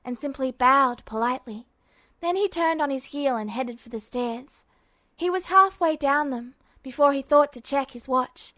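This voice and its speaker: A woman reading a fan fiction audiobook with steady, even storytelling. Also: sits high, no echo. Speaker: podfic reader.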